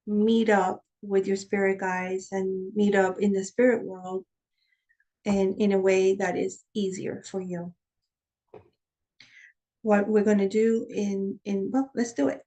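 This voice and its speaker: A woman teaching shamanic practice, leading a guided journey meditation over a video call, calm and slow when guiding and chatty when explaining. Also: medium pitch. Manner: chatty and candid when explaining, with soft laughs.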